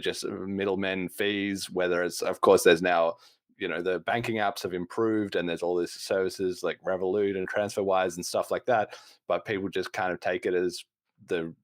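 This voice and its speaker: Low-voiced man hosting a podcast. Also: dry recording, clean studio sound. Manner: talkative, explains at length, laughs now and then. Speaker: podcast host. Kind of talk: casual podcast conversation.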